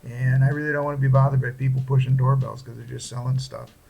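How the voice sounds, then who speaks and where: A man talking casually through a hobby project without a script, in a halting, plain-spoken way. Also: mid-range voice, flat delivery, gravelly, dull audio. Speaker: hobbyist presenter. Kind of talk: casual unscripted project walkthrough.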